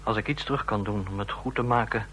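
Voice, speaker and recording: An actor playing a nervous, submissive subordinate agent, hesitant and deferential, in a radio drama. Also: male voice, fairly low pitch, fast, pushed and bright, husky. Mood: subdued, anxious. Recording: vintage radio play with faint hum.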